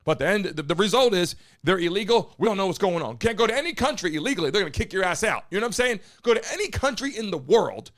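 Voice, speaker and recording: A man hosting a live stream from his studio, talking loudly and bluntly and hyping up his chat. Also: high voice, very animated, fast, few pauses. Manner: hype-man shouting at the chat. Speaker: live-stream show host. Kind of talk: loud, brash live-stream commentary. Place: home studio in Miami.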